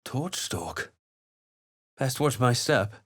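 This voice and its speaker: A man playing a wary, quietly wry fantasy adventurer in a video game, speaking in a measured, deliberate, dramatic way. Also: mid-range voice, expressive, breathy edge, dry recording, studio quality, exaggerated voice. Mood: wry, musing. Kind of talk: video game voice lines, dramatic narration and muttered asides.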